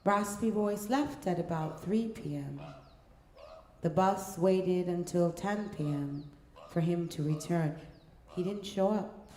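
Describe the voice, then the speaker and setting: A woman reading a scripted story in a measured, deliberate way, pausing between short phrases. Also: on the low side, darkish tone, a little hiss or hum. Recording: echoey room, faint music behind.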